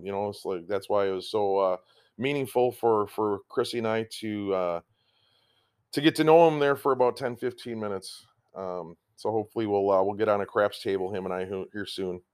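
A man in middle age chats loosely on his home podcast, wandering from one thought to the next. He leans on ums, uhs and restarts as he goes, and his voice sits at an ordinary mid pitch. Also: a little mellow. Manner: rambling, with frequent ums and restarts. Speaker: podcast host. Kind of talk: casual rambling chat.

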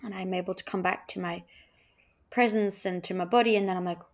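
Woman podcast host, halting and thoughtful. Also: medium pitch, fairly even intonation. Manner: halting, thoughtful, trailing phrases. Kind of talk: casual reflective podcast conversation.

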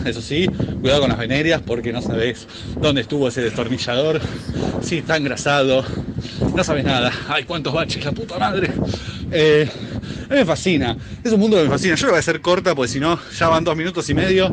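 A man's voice: on the high side, brisk. A man with a Buenos Aires accent giving a rambling comedic report as a correspondent while riding a bicycle outdoors. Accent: Buenos Aires Argentine. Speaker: roving correspondent. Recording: loud street and traffic noise. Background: loud construction and vehicle noise.

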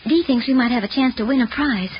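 Actress as shy teenage girl, girlish, highish pitch, eager, vintage radio acting, dull recording. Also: quick pace, natural voice. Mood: eager, excited. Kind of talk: 1940s radio drama acting. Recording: dull, noisy 1940 radio broadcast.

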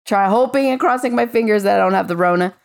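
A woman co-hosting a podcast, sick with a raspy voice, chatting casually. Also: medium pitch, fast talker. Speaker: podcast co-host.

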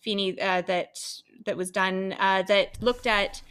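A woman's voice: mid-range voice, fairly even intonation, measured pace, a bit bright, clear, resonant tone. A woman speaking as an expert on singing, explaining how the voice works with everyday comparisons. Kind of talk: explanatory conversation.

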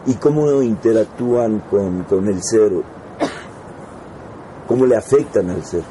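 A man's voice: fairly low pitch, slow, dark tone, a little hiss or hum, low-quality recording. A man asking his teacher questions in a group class, as a student.

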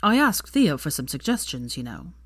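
A woman's voice: low voice, sing-song, normal speed, fairly bright tone, dry recording, clean studio sound, heavily acted. A woman voicing a smug, teasing young male wizard in an audio story, breezily pleasant and drawling. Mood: smugly pleasant.

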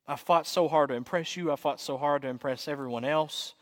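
A man's voice: a little high, a little fast, natural voice. A man playing a weary, desperate pilgrim in a sermon story, pouring out his heart with raw emotion. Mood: weary, broken. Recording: echoey hall with long reverb.

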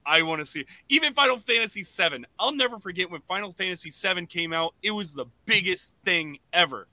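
Excitable, bright-voiced man chatting. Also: high-pitched, a little fast, no room sound. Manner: excitable, exclaiming. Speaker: podcast co-host. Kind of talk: casual animated podcast chat.

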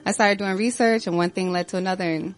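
A woman's voice: mid-range voice, fast, faint music behind. Woman from Florida, interview guest, easygoing, talks with fillers. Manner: relaxed, laughs easily, fillers. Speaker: entrepreneur guest. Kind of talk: casual interview.

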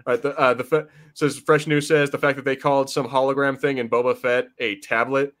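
A man's voice: mid-range voice, level tone, fairly quick, a bit bright. A man hosting a pop-culture podcast, chatting informally and rambling, with casual swearing. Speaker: podcast host.

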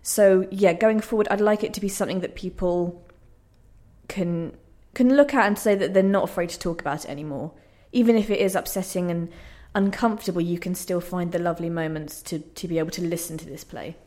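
A woman's voice: lowish voice, a little fast, a little mellow. A woman speaking earnestly and reflectively as a playwright being interviewed in conversation on the radio.

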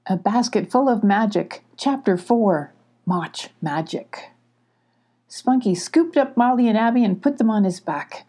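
A woman's voice: mid-range voice, lively intonation, unhurried, warm tone. An older woman narrating a children's story expressively as a storybook reader.

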